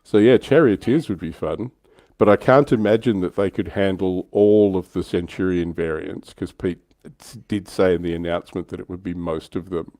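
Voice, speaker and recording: A man's voice: low-pitched, normal speed, dark tone, no echo. A man hosting a livestream, chatting informally through a casual hobby discussion. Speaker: livestream host.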